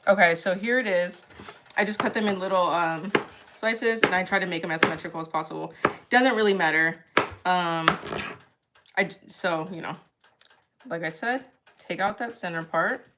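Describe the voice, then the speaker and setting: A woman's voice: fairly low pitch, moderate pace, pauses often, very noisy. A young woman hosting a livestream, chatting casually and giggling while she cooks and answers viewer comments. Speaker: livestream cooking host.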